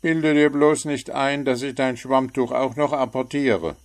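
A man's voice: medium pitch, a little slow, pitched above the performer's own voice, lightly acted. A man voicing a cheeky little bird who teases with sarcastic remarks, in a storybook reading. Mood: teasing. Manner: teasing, sarcastic.

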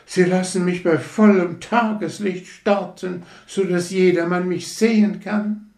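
A man's voice: medium pitch, animated, slow, a little mellow, pitched above the performer's own voice. A man voicing a vain, pompous firework rocket that boasts with haughty, affected grandeur in a storybook tale. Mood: triumphant. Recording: roomy echo.

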